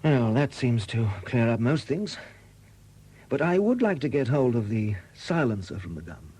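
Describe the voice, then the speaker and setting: An actor in a 1970s British radio drama playing a composed, probing chief superintendent who questions in a measured way. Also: male voice, medium pitch, very animated, a little mellow, husky. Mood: calm, insistent.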